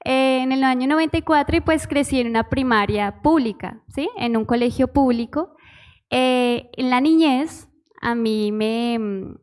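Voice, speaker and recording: A young woman from Bogotá gives her testimony in church, speaking with a local Bogotá accent. Her voice sits a little high, and she is shy and giggly, hesitating often with fillers as she talks. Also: unhurried, darkish tone. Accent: Bogotá Spanish. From Bogotá, Colombia. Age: 20s. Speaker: church musician, pastor's wife. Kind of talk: personal testimony.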